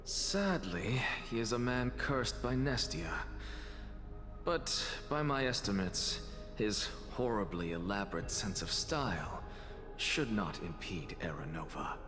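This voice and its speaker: A man voicing a sardonic, weary, dying scholar in a video game, speaking in a deliberate, haughty and theatrical way. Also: on the low side, lively intonation. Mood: wry, candid. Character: sardonic, dying one-eyed male scholar, weary and candid. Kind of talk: scripted game voice acting. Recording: long hall reverb, loud music.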